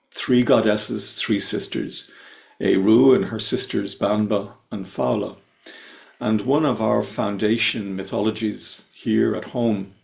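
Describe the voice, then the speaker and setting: An Irish man giving a slow, heartfelt spiritual talk on a video call, lingering on key words. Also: on the low side, gravelly. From Ireland. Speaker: invited speaker. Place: his home, on a video call. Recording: echoey room over video call.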